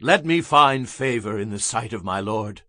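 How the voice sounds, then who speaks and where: A humble patriarch of scripture in an audio drama. His voice is bright and forceful, closely and dryly recorded, and he entreats earnestly with great deference. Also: lowish voice, expressive, moderate pace, dry recording, studio quality. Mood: deferential, entreating. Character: Jacob, humble pleading patriarch addressing his brother.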